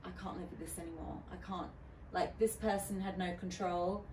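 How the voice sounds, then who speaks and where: A woman's voice: lowish voice, steady tone, normal speed, darkish tone. A woman, a DJ guest on a podcast, talking candidly and chattily with lots of fillers and restarts. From United Kingdom. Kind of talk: casual podcast interview. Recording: roomy, distant mic with faint noise.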